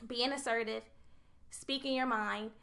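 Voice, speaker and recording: A woman's voice: on the high side, fairly even intonation, measured pace, creaky, pushed and bright. A young woman co-hosting a podcast, making her case earnestly and point by point in casual chat. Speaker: podcast co-host.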